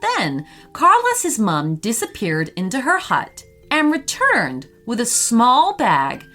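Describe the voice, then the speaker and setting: A woman narrating a bedtime story in an expressive way for young children. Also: on the high side, slow pace. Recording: music behind the voice. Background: music.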